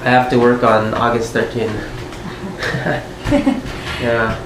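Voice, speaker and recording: Friendly American man in his thirties chatting as an interview guest. He talks in a relaxed, meandering way with easy laughs. His voice bounces around a reverberant room over steady background noise. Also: medium pitch, takes it slowly. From United States. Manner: friendly, rambling, laughs often. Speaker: guest, airline pilot. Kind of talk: casual conversation.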